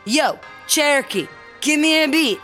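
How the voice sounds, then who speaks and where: A woman acts as a commanding battle emcee in a comedy audio drama. She hypes the contest in a higher-pitched voice, taking her time, with music clearly behind her. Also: animated, unhurried. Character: commanding female rap battle emcee working a crowd. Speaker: announcer.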